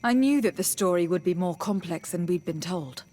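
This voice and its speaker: A woman playing a calm, diplomatic young noblewoman envoy in a video game, speaking in a measured, formal and firm way. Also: on the low side. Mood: composed. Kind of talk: video game dialogue acting.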